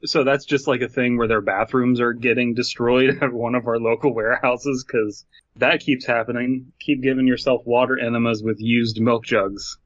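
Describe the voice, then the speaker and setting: A man's voice: mid-range voice, little pitch movement, normal speed, dry recording. A man co-hosting a podcast, chatting casually and reading out headlines. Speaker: podcast co-host. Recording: dull lo-fi, dry.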